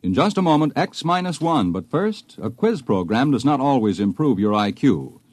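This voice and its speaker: An American man delivers scripted promos as a 1950s radio announcer. His polished pitch is lively and varied in tone, at a middle register, in a close, dry acoustic. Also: moderate pace, no room sound.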